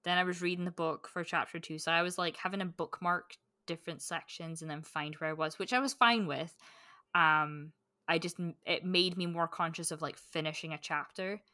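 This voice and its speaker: A woman with a bright voice on the lower side talks casually about books as a podcast co-host. She is candid and sweary, often interrupting herself mid-thought. The recording is close and dry. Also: fairly even intonation, normal speed, no echo. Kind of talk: casual book chat.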